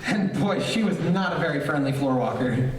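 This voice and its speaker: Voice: mid-range voice, expressive, normal speed, close to an everyday voice. A performer playing an earnest, hapless teenage boy, polite, easily flustered and full of gee-whiz exclamations, in old-time radio comedy style. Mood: chatty. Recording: distant, very echoey room with hiss.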